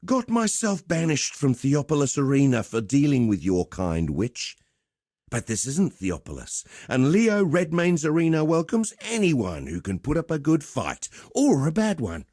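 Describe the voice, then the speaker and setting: A man's voice: on the high side, huge pitch swings, a little slow, a little mellow, no echo, clean studio sound, heavily acted. A man playing a swaggering, cheerful arena fight promoter in a video game, with theatrical, chummy, slangy patter. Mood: chatty, roguish. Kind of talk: video game character voice lines.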